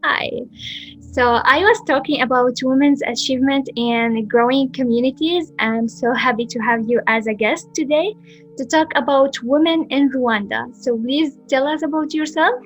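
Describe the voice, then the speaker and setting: A woman's voice: high-pitched, normal speed, muffled recording, faint music behind. A woman hosting a podcast interview, asking questions and encouraging her guest. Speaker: podcast host. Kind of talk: casual interview.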